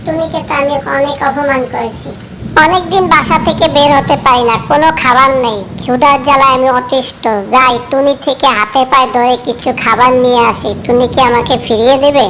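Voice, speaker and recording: High, pleading hungry cartoon bird, voiced by a woman. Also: fairly even intonation, brisk, noisy background, close to an everyday voice. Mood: miserable, worried. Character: hungry, humbled talking bird begging for food. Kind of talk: animated fairy-tale cartoon dialogue.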